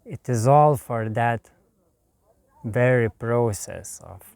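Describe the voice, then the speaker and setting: A man from Lithuania with a Lithuanian accent, giving a calm, meditative spiritual talk in a slow, soft way with long pauses. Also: medium pitch, creaky, clear, resonant tone, no room sound. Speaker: spiritual teacher.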